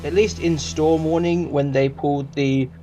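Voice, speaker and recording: A man's voice: fairly high pitch, steady tone, moderate pace, over music. A man hosting a review channel, reading a scripted review.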